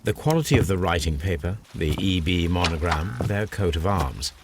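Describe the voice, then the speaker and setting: Game role: brilliant, aloof Victorian detective, British, deep voice, lecturing formality, noisy background. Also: male voice, animated, moderate pace. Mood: confident, deductive. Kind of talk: scripted video game acting.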